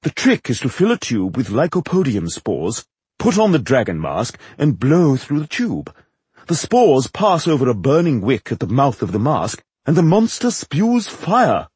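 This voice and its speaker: Casting: male narrator as a grandiloquent aristocratic showman, raised pitch, highly animated, theatrical fantasy storybook flourish. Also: normal speed, breathy edge, dry recording. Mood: proud, showy. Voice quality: exaggeratedly aristocratic delivery. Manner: grandiloquent, wordy, showy.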